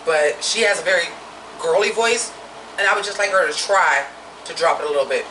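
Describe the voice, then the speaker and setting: A woman with a naturally deep voice giving a blunt, profane, opinionated drag review in a casual vlog. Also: brisk, sharp tone, roomy sound, recorded from a distance, slight background noise, poor audio.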